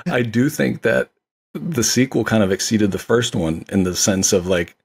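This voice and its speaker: A man with a lowish, rough-edged voice talks casually as a guest on a film podcast. He is enthusiastic and conversational, laying out his opinions freely. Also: animated, moderate pace.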